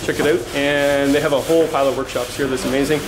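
A man's voice: medium pitch, level tone, quick pace, rough recording. A middle-aged man chatting casually as a vlogger and interviewer on location. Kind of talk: casual vlog chat and on-site questions. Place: large wooden workshop indoors. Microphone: handheld camera at arm's length.

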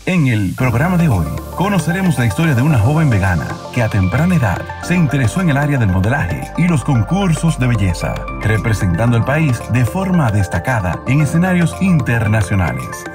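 A man reads a scripted announcer intro with a lively, swooping pitch. His voice-over sits over clearly audible background music. The delivery is polished and presented. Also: fairly quick, hardly any pauses. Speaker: show announcer.

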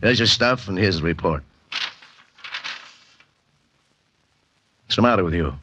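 An actor playing a gruff, wisecracking police lieutenant in a 1940s radio mystery, blunt and sardonic. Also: male voice, deep voice, expressive, fast talker, bright, forceful tone, highs cut off, a little hiss or hum. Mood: blunt, assured.

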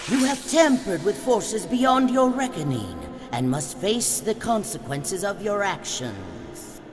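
A woman's voice: medium pitch, big pitch swings, takes it slowly. Woman as stern, solemn elder in long reverb. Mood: stern reproach. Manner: formal, solemn, reproving. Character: stern, grave otherworldly elder scolding failed guardians. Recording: long hall reverb, music underneath.

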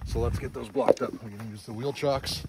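A man's voice: medium pitch, fairly even intonation, fairly quick. A middle-aged man explains gear step by step in a casual, conversational walkthrough, filming himself outdoors at arm's length. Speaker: presenter demonstrating a camper trailer. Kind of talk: casual instructional walkthrough vlog. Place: snowy outdoor campsite, briefly inside small trailer. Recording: clearly noisy outdoor selfie recording, clicks.

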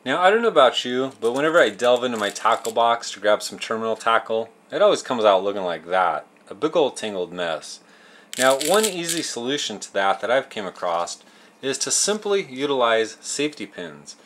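A man's voice: medium pitch, a bit bright. Man explaining fishing tips step by step. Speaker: host of a fishing tips show. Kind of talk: instructional how-to narration.

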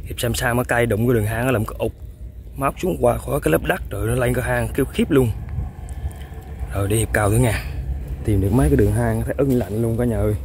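A young man excitedly commenting to viewers while fishing outdoors, exclaiming often. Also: mid-range voice, fast, slight background noise. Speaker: fishing vlogger. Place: outdoors in fields.

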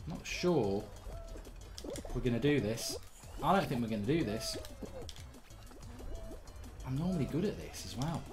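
A hoarse man in his thirties doing live, blunt, sweary commentary while streaming a game from a desk indoors. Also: mid-range voice, lively intonation, unhurried, pauses often, music in the background. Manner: blunt, sweary, rambling banter.

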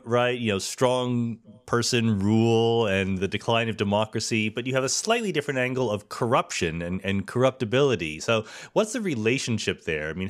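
A man hosting a podcast interview, asking questions in a thoughtful, loose, discursive way. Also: lowish voice. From United States. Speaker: podcast host.

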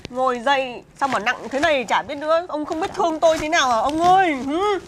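Scolding wife, played by a woman, nagging rhetorical questions, high voice, faint background noise. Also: a little fast, lightly acted. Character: exasperated scolding wife of a drunkard. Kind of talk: comedy skit acting.

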